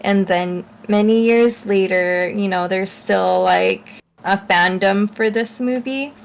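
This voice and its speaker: Woman casually talking books, super slow and halting, lots of vocal fry, medium pitch. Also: a little hiss or hum. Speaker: book reviewer co-host. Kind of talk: casual chat, unscripted book summary.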